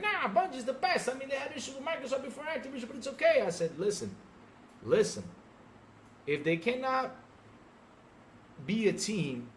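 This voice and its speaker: A man's voice: on the high side, a little hiss or hum. A middle-aged man hosting a podcast, ranting about gaming to a live chat in an emphatic, sarcastic way. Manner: emphatic, sarcastic, mimics fans mockingly. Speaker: podcast host.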